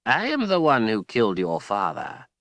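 A man's voice: fairly low pitch, wildly swooping pitch, moderate pace, fairly bright tone, no echo, voice pushed up, exaggerated voice. A man voicing an old male wizard who speaks in a stiff, dignified way. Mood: defiant.

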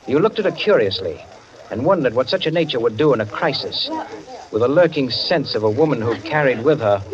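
An actor playing a wry literary storyteller, narrating an old-time radio mystery in a measured, precise, dramatic way. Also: male voice, medium pitch, lightly acted. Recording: dull old radio, music underneath.

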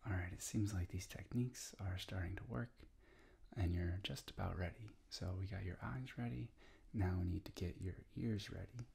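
A man in his thirties doing a whispered ASMR doctor roleplay, breathy and soothing, speaking slowly and reassuringly up close to the microphone. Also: deep voice, a little mellow. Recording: close-up with clear noise and clicking.

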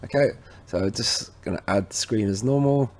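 A man presenting an informal, instructional software walkthrough, talking casually to viewers as he goes. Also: fairly low pitch, normal speed. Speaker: tech tutorial presenter. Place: indoors at a computer, voice over screen recording.

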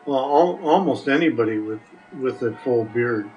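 An older man heard a bit off the microphone through a dull recording. He answers interview questions casually, in brief and plain-spoken replies at a medium pitch. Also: lively intonation, moderate pace, a little mellow, faint music behind. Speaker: recurring podcast guest answering questions. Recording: dull, slightly distant microphone.

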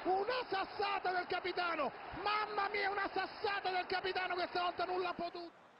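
Guy commentator screaming goal over and over, super high, dull lo-fi broadcast, loud stadium roar behind. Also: level tone, background noise. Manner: shouting long drawn-out goal cries. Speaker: football commentator. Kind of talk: live match commentary.

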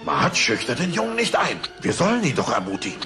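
An actor playing a dim, childish middle-aged cartoon dad in a German dub, boisterous and plain-spoken. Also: male voice, medium pitch, wildly swooping pitch, fairly quick, loud music behind the voice. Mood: grumbling.

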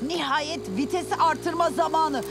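Woman voicing a very high, brash young boy. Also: a little slow, loud music behind the voice. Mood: impatient determined. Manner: brash, animated, self-assured. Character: ten-year-old boy hero, brash and cocky. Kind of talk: cartoon dub acting.

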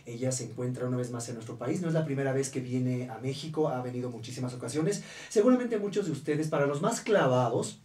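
A man's voice: medium pitch, lively intonation, darkish tone, recorded from a distance. Man hosting radio, roomy and off-mic. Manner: filler-heavy, many "eh" pauses. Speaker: radio show host. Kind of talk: radio interview. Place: radio studio booth. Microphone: studio boom mic, heard from a distance.

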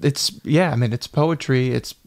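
A man's voice: medium pitch, moderate pace, dry recording. A young man, a musician guest on a podcast, reflecting casually and searching for words. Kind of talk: casual interview chat.

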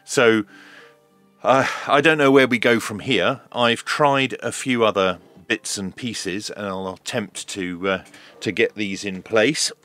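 A man giving a hands-on tech demonstration in a measured, hesitant way, as if thinking aloud. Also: on the low side, steady tone, fairly bright tone, faint music behind. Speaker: host of a radio repair video.

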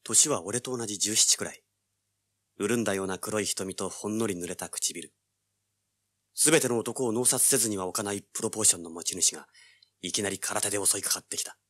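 An actor playing a self-assured, hard-boiled teenage treasure hunter, narrating and speaking in an audio drama. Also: male voice, on the low side, a little fast, fairly bright tone, breathy edge. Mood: intrigued, narrating. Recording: music behind the voice. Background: background music.

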